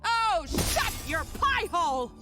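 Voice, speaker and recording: A woman's voice: very high voice, very animated, slow pace, loud music behind the voice, full character voice. An actress playing an obsessive, vengeful female treasure hunter in a video game, theatrical and gloating. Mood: outraged, desperate. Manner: theatrical, gloating, swinging to outrage.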